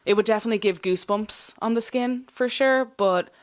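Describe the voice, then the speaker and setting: A woman's voice: mid-range voice, brisk. A young adult woman, a guest in a casual interview, talking candidly and reflectively about her own experiences.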